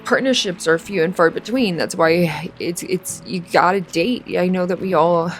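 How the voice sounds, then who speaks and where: A woman playing a fragile, heartbroken woman after a breakup in an audio roleplay, halting and stammering as she doubts herself. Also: on the low side, soft background music, close to an everyday voice. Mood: anxious, rambling. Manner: halting, stammering, rambling, sighing. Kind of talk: emotional audio roleplay acting.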